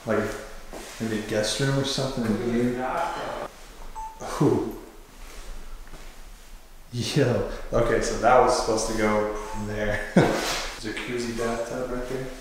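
A man's voice: mid-range voice, slow pace, pauses often. A young man vloggs his way through an unfinished mansion, talking loosely and laughing as he goes. His voice rings around bare, echoing rooms with a long tail of reverb. Faint noise sits underneath. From Oklahoma. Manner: excited, slangy, laughs often. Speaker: urban explorer vlogger. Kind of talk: casual walkthrough narration. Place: large empty unfinished house, echoing rooms. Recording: very echoey empty rooms.

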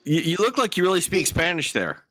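A man's voice: high-pitched, very animated, a little fast, pushed and bright, slightly rough. A man hosting a livestream with casual, joking commentary, often repeating words as he goes. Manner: joking, stammering repetitions. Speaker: livestream host. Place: video call.

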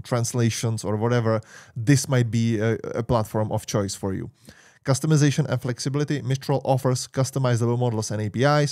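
A man's voice: medium pitch, a little mellow, dry recording, studio quality. A man in his thirties, a native Czech speaker with a Czech accent, hesitantly reading tech points aloud and adding casual asides. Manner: hesitant, many "uh" and "you know", reads points aloud then comments. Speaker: tech YouTuber. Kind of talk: screen-share tech review with asides. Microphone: studio mic on boom, webcam inset.

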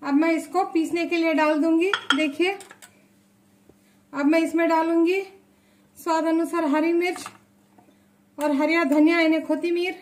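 A woman hosting a home cooking tutorial in her kitchen, chatty, often pausing to say "look" and give blessings. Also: extremely high pitch, level tone, a little hiss or hum. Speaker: cooking channel host.

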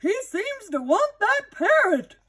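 Storybook shopkeeper, male , played by a woman: puzzled, kindly, emphatic, high-pitched and unhurried. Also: big pitch swings, pushed and bright, far from the mic, heavily acted. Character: puzzled, kindly male pet shop owner.

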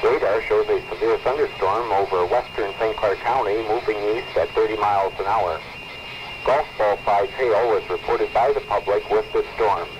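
Low-voiced man on a noisy old weather radio. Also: steady tone. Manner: formal, measured phrasing. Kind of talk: scripted weather bulletin reading. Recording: muffled, noisy 1970s weather radio recording.

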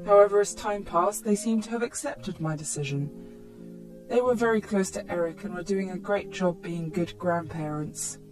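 A woman's voice: mid-range voice, moderate pace, music in the background, rough recording. A woman reading a scripted first-person story as narrator.

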